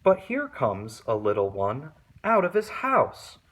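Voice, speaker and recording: A man reading a children's picture book aloud in an expressive narrating style. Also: medium pitch, slow, breathy edge. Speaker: storybook reader.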